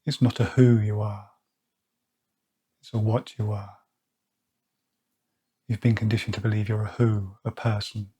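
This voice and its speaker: A man leading a guided meditation, speaking slowly and softly with long pauses. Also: fairly low pitch, flat delivery, slightly rough. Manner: slow, soft-spoken, with long pauses. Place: outdoors or by an open window with birds nearby.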